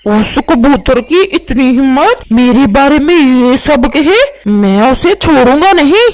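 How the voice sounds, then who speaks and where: A woman's voice: high voice, expressive, a little slow, a little mellow, rough recording. A woman voicing an indignant, hot-tempered male bird in a children's cartoon story. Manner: indignant, demanding, easily provoked. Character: proud, touchy, hot-tempered male parrot and pigeon. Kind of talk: animated children's moral story dialogue.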